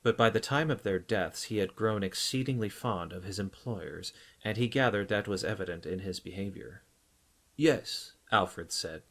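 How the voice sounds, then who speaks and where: A man's voice: low-pitched, a bit bright. A man reading a novel aloud as an audiobook narrator in scripted narration.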